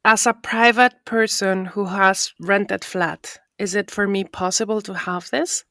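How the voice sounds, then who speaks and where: A woman's voice: medium pitch, steady tone, measured pace, fairly bright tone, no echo. Host: woman, mid-range voice, upbeat and jokey, dry close-mic sound. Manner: friendly, chatty, joking. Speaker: podcast host. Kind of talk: hosting an interview podcast.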